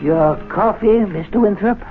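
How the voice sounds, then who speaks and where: An actor playing a sly, resentful, aging club attendant in a 1970s radio drama. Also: male voice, sits high, moderate pace, over music, close to an everyday voice. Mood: deferential. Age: middle-aged or older. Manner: scornful gloating in private, obsequious with members. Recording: tinny, noisy old radio broadcast.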